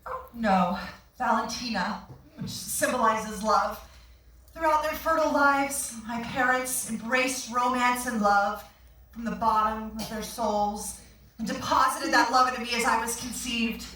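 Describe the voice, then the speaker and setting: An actress plays a dreamy new-age woman astrologer in a stage comedy. Her voice is on the high side, gushing with theatrical mysticism. It is caught from far off in an echoing, slightly noisy theatre. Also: measured pace, bright, forceful tone. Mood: gushing, mystical. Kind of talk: stage acting in a comedy play reading. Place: theatre stage, recorded from the audience.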